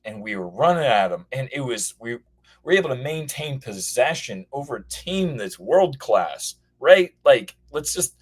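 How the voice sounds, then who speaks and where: A hoarse man calling in to a show, chatting casually and rambling with lots of restarts and fillers. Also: medium pitch. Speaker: caller. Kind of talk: casual call-in chat.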